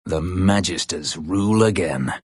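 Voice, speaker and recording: A brooding video game warrior, once a slave, with a very deep and husky voice. He is proud and guarded, choosing terse, formal words and delivering them dryly. The sound is close and dry. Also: a little slow, no room sound. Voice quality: husky, low, gravelly-edged voice. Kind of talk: video game character voice lines.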